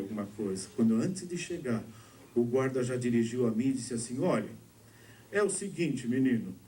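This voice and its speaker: A man's voice: mellow, slight background noise. A Brazilian man preaching, telling his testimony to a congregation and voicing the people in his story. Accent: Brazilian Portuguese. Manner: storytelling, acting out dialogue with shifts of pitch. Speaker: pastor. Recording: distant mic with faint ambience.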